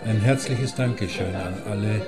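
A man announcing the closing credits of an audio play in a scripted, measured delivery. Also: fairly low pitch. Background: loud accordion music.

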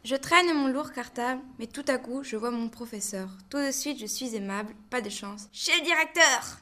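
A young teenage girl with a bright, crisp and fairly high voice speaks in a class radio report. Her delivery is recited and sing-song. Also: fairly quick. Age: young teen.

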